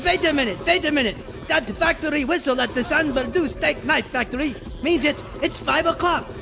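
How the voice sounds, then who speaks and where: An actor playing a blustering, bossy film director who barks orders in a radio serial parody. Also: male voice, very high voice, fairly quick, creaky. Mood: urgent, pleased. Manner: barking orders, emphatic. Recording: noisy ambience with crowd behind.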